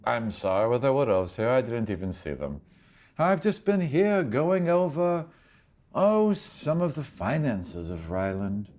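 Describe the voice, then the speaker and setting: A man's voice: medium pitch, very animated, measured pace, darkish tone. A man voicing an elderly elven grandmother in a fantasy tabletop game, doting yet imperious and world-weary, with genteel, fussy phrasing. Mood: haughty, weary. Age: very old.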